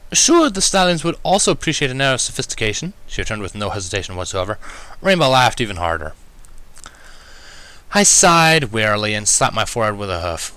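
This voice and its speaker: A man reading fan fiction aloud as an expressive first-person story narrator. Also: lowish voice.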